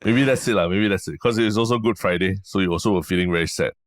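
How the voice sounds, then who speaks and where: A man's voice: sits low, quick pace, no room sound. A man in his thirties with a Singaporean accent, co-hosting a podcast, teasing his partner in a dry, hesitant way. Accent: Singaporean English. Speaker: podcast co-host. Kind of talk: casual chat.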